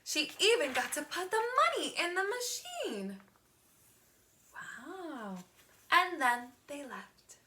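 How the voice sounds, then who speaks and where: A woman reading a picture book aloud to young children in an expressive teacher's manner, drawing words out. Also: very high voice, takes it slowly, a little hiss or hum. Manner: expressive, asks the listener questions, gasps, draws words out.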